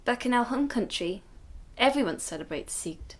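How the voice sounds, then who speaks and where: A woman's voice: on the high side, lively intonation, moderate pace. Woman playing earnest protective grandmother recounting hardship. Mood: solemn, reflective. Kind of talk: radio drama acting.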